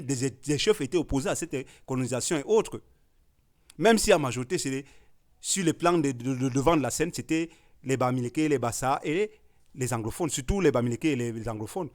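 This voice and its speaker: A man debates on a panel. His voice sits a bit high, and he pushes his points with emphatic, forceful declarations. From Cameroon. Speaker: artist and discussion guest. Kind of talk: heated panel discussion.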